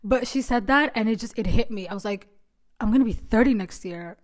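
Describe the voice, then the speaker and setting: A woman in her late twenties hosting a solo podcast, talking in a chatty, animated, self-mocking way. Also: fairly high pitch, a little fast. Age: 20s. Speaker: podcast host. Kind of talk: casual solo podcast chat.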